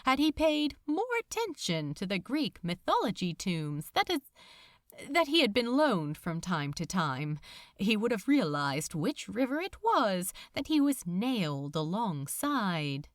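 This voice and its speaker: A woman narrates a story in a dramatic, measured way. Her pitch moves expressively while the pace stays slow. The sound is close and very dry. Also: big pitch swings, dry recording. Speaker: audiobook narrator. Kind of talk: scripted fiction narration.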